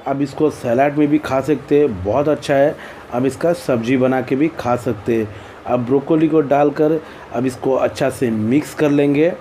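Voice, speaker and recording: A man's voice: mid-range voice, dark tone, a little hiss or hum. A man with an Indian accent narrates a recipe tutorial as a cooking host, in a plain, instructional way with repeated phrasing.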